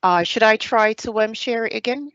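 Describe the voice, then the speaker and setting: A Jamaican woman in her thirties, a soldier giving a hesitant presentation over a video call, pausing often on fillers. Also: on the high side, a bit bright, dry recording, dull audio. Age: 30s. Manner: hesitant, many filler pauses. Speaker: serving army staff sergeant giving a talk.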